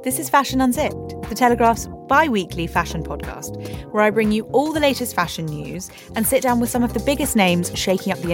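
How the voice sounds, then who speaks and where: A woman hosting a fashion podcast, reading a polished, scripted introduction. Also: mid-range voice. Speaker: podcast host. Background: music.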